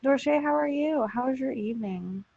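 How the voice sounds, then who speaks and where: A woman's voice: high-pitched, brisk, creaky, a little mellow, slightly rough, no echo. Female podcast host, informal and teasing, dry close sound with a dulled top end. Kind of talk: casual group chat. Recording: muffled, dull lo-fi podcast audio.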